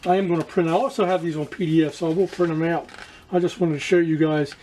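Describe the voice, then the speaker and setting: A man giving a casual hands-on demonstration to viewers as a hobbyist, haltingly searching for words and repeating himself. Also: fairly high pitch, steady tone, a little mellow, a little hiss or hum. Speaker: radio restoration hobbyist presenting to viewers.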